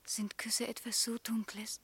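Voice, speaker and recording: A woman's voice: a little high, little pitch movement, measured pace, a bit bright, slightly rough, in a whisper, exaggerated voice. An actress playing a dreamy, devoted young woman in love in a German radio play, wistful and intimate. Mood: wondering, hushed.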